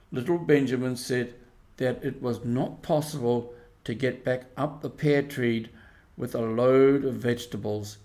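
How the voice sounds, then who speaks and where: A man's voice: mid-range voice, warm tone. A man narrating a scripted children's story as a storybook reader.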